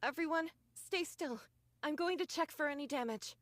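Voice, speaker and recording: A woman playing a gentle, caring, earnest young squad mechanic in an anime-style game. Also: sits high, normal speed, no room sound. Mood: concerned.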